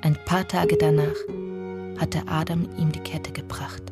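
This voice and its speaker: A woman narrating a literary radio drama in the first person, in measured, deliberate phrases. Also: deep voice, level tone, over loud music. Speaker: actor narrating.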